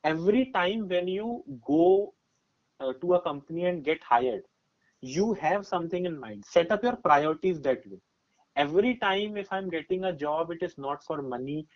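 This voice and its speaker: Male, twenties, Indian accent. Fairly high-pitched, emphatic podcast guest talking through advice with personal examples; dull recording. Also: lively intonation, normal speed. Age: 20s. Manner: emphatic, explanatory, often checking with "right?". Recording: dull, muffled lo-fi audio.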